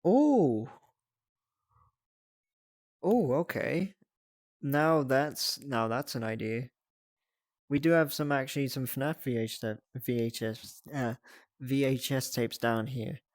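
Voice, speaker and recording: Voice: mid-range voice, slow, long pauses, no echo. A livestreamer chatting casually to viewers in a giggly way, often thinking aloud. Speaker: livestreamer hosting a reaction stream.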